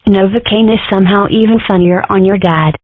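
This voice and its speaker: A woman's voice: mid-range voice, fairly quick, no echo, close to an everyday voice. A woman voicing a cheeky, mischievous young animal girl in a comic dub, with a teasing, flippant, casual manner. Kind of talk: comic strip dub, character acting. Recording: muffled, dull, dry audio.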